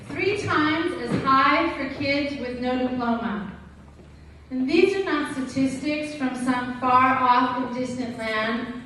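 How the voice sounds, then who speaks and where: Female spoken-word performer, teacher type: high voice, unhurried, emphatic phrasing, heard in a very reverberant hall. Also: a bit bright. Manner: deliberate, emphatic, dramatic delivery with pointed pauses. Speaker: teacher performing slam poetry. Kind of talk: slam poetry recitation with acted teacher dialogue. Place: large echoey room at a gala event. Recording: echoey hall, distant mic, faint noise.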